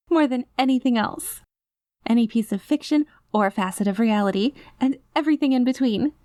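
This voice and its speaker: A woman's voice: mid-range voice, mellow, dry recording, studio quality, lightly acted. A woman playing an obsessive, clingy, doting girlfriend in an ASMR roleplay, rambling and gushing in an anxious, lovestruck way. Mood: adoring, giddy. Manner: gushing, rambling, with nervous giggles and breathy asides. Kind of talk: ASMR roleplay, acted voicemail monologue.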